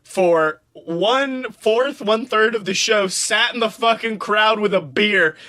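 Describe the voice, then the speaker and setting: A man with a high, bright voice co-hosts a casual comedy podcast. He tells stories in an animated, profane way, slipping into acted-out quotes as he goes. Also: a little slow. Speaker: podcast co-host.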